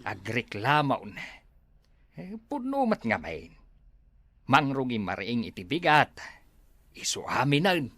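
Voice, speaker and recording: A man's voice: medium pitch, huge pitch swings, slow pace, long pauses, a bit bright, no echo. A man with an Ilocano accent playing a grumbling father in a family comedy sketch.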